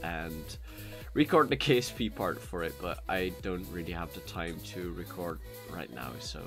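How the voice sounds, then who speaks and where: A man's voice: low-pitched, animated, moderate pace. A Dutch man with a Dutch accent, chatting casually while live-streaming a game, rambling with many fillers and restarts into a cheap USB mic. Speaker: gaming streamer. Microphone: cheap USB microphone. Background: soft background music.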